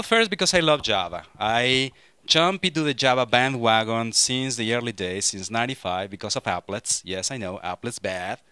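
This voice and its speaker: A man in his thirties from Mexico with a Mexican Spanish accent, giving a lively, joking technical talk to a conference audience through a handheld microphone. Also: medium pitch, clean tone, dry recording. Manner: lively, joking, frequent restarts. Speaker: conference speaker.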